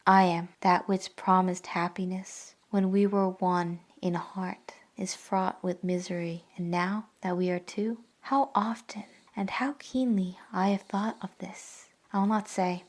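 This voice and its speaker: An actress playing a sorrowful yet resolute young woman in a classic radio drama, speaking gravely and deliberately. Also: medium pitch, steady tone, close to an everyday voice. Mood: grieving, firm.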